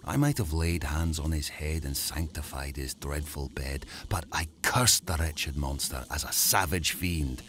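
Very deep, grandiose mad scientist. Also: male voice, a bit bright, slight background noise. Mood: grandiose, tormented. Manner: grandiose, theatrical, deliberate delivery. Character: proud, tormented mad scientist, father of a monster. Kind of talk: dramatic rhymed monologue, horror audio drama.

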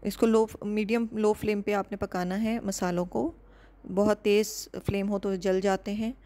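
A woman calmly narrating a step-by-step home cooking recipe as the host of a cooking channel, measured and instructive. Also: mid-range voice.

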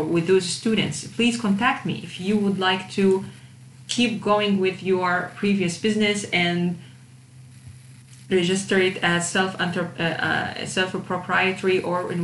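A woman's voice: medium pitch, a bit bright. A woman instructor giving an informal lecture to students, addressing them directly, with a hesitant delivery that often repeats words. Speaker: university instructor of digital marketing. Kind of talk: informal online lecture over slides. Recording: roomy echo, faint background noise.

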